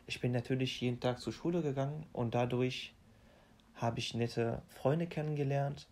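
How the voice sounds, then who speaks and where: A young man, talking in an even, middle-pitched voice. He speaks haltingly and often restarts his sentences. His phrasing stays plain and simple. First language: Arabic. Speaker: interviewee. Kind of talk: casual interview.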